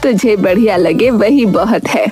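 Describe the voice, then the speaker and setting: A woman's voice: medium pitch, a little slow, a little mellow, soft background music, lightly acted. A woman voicing a kindly, busy old village grandmother who sells snacks in a cartoon story, folksy and hospitable. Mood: kindly, instructing. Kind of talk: Hindi cartoon story acting.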